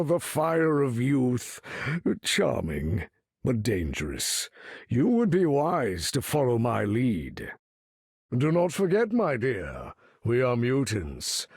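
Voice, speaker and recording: A male actor playing a proud aging mutant leader in a video game, with a gravelly, husky voice and a grand, formal, commanding manner. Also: mid-range voice, no room sound, studio quality, exaggerated voice. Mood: measured, wise. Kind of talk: video game character voice acting.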